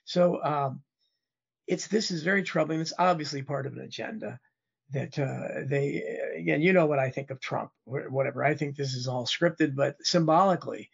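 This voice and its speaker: A man losing his voice, talking in a halting, rambling way as a guest in a political interview. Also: fairly high pitch, dull audio. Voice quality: hoarse. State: losing his voice, throat-clearing. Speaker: guest commentator.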